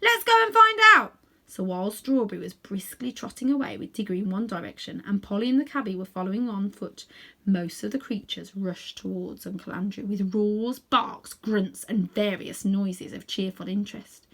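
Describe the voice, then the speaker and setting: A woman narrating a children's storybook aloud in an expressive reading style. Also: medium pitch. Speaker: storybook reader.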